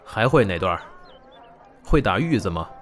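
A man playing a gruff but warm-hearted middle-aged comedy master in an audio drama. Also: lowish voice, very animated, normal speed, faint music behind. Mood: probing. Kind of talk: Chinese audio drama acting.

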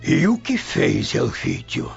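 Gravelly elderly Roman grandfather, gentle and hesitant, radio soap, dull recording with music. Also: on the low side, huge pitch swings, slow pace, exaggerated voice. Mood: perplexed. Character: old Roman grandfather, gentle, concerned, perplexed.

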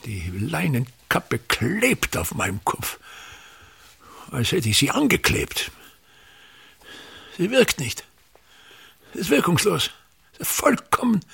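An actor playing a pompous, tyrannical older theatre manager in a radio drama, declaiming scornfully in a gravelly, husky voice. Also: male voice, a little high, sharp tone, exaggerated voice. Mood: aggrieved. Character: pompous, tyrannical ageing male theatre actor-manager berating his son.

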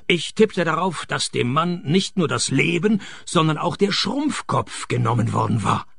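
An actor playing a laconic private detective in a radio play, narrating and talking in a deadpan, wry way. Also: male voice, a little high, a little slow, vocal fry, slightly rough, no echo, studio quality, full character voice. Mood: dry, laconic.

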